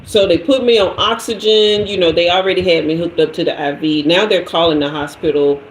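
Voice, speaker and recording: Animated woman telling a story, echoey room. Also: lowish voice, a little fast, slight background noise. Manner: lively, acts out every voice in the story. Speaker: tarot reader and host. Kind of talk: animated storytelling to a live audience.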